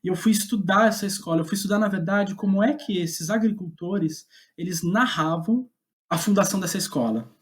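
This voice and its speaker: A man with a Brazilian Portuguese accent speaking as an interview guest, casual and reflective, halting as he thinks aloud. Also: sits high. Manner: hesitant, halting, thinking aloud with repeated words. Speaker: podcast guest, playwright.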